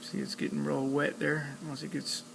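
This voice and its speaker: Guy doing a casual repair how-to, monotone, lots of vocal fry, talks while working, slight background noise. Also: mid-range voice, normal speed. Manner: plainspoken, step-by-step, talking while working. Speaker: electronics hobbyist demonstrating a repair. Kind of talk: casual hands-on tutorial narration.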